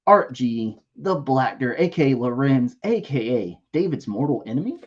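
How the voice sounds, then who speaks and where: A man hosting a casual toy-collecting livestream, losing his voice a bit, reading out member shout-outs with jokes. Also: medium pitch, very animated, normal speed, slightly rough, muffled recording. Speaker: livestream host.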